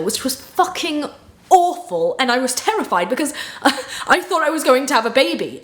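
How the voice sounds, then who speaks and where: A woman playing a guilt-ridden, flustered young woman in a naturalistic dramatic monologue, halting and rambling as she confesses. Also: on the high side, reverberant, lightly acted. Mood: agitated, distressed. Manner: halting, rambling, blurting, with nervous laughter. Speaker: acting student.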